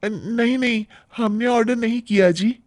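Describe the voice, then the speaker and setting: A man's voice: extremely high pitch, a little slow, a little mellow, no room sound, studio quality, pitched above the performer's own voice. A man voicing a sweet, whiny, naively greedy young wife in a cartoon story, coaxing and drawing out the words. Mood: hesitant. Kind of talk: animated Hindi moral-story cartoon acting.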